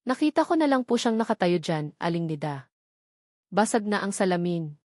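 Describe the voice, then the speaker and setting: A woman's voice: lowish voice, sing-song, normal speed, darkish tone, dry recording, clean studio sound. A woman voicing a cold, scornful male janitor who speaks bluntly and accusingly in an audio story.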